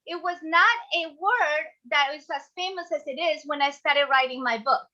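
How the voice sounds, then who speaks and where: Bright, high-voiced Ecuadorian-accented woman presenting. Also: moderate pace. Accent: Ecuadorian Spanish. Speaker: speaker, business coach and class host. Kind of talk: motivational online class presentation. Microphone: video call. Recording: dull, lo-fi online call audio.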